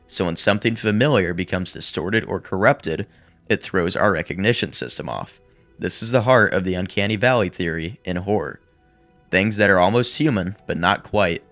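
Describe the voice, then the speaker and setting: Guy w a deep voice doing a horror video essay, measured storytelling, bit casual, music faintly behind him. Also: a bit bright, dry recording. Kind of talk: scripted horror commentary with casual asides. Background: background music under the voice-over.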